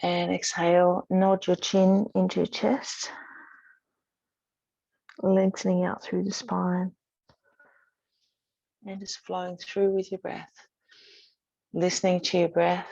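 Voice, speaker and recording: Woman yoga teacher, lowish pitch, very flat intonation, soothing guided-practice delivery, short cues with pauses. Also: vocal fry, lo-fi sound. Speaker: yoga instructor. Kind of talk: guided yoga and relaxation instruction.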